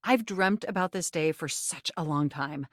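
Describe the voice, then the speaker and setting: A woman's voice: sits low, normal speed, no echo, clean studio sound, natural voice. A woman voicing a flirtatious, eager female computer character in a dating video game, speaking with wordy, precise techy diction and a theatrical flair. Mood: earnest, composed.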